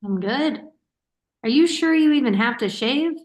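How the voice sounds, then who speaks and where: A woman's voice: high-pitched, expressive, measured pace, a little mellow. A young woman playing a teasing, affectionate older sister with a dry, casual manner in a staged reading of a play.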